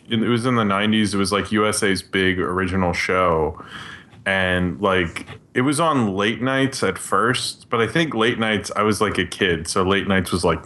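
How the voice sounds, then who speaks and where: A man chatting casually as a podcast co-host, rambling and often restarting his phrases. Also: bright, forceful tone. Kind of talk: casual chat about old TV cartoons. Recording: audible low rumbling background noise.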